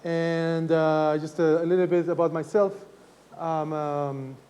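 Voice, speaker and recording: A man in his forties from Ecuador lectures to students, holding his voice down because his throat is sore. His voice sits a little high for a man. He talks in a halting way, full of fillers and restarts. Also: level tone, mellow, highs cut off, slight background noise. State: sore throat, speaking softly. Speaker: professor giving a lecture. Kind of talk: university lecture.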